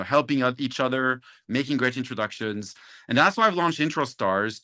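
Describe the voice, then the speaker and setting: A man's voice: mid-range voice, bright, forceful tone. A Belgian-American man introducing himself as the guest investor on an online meetup call, upbeat and enthusiastic. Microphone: video call.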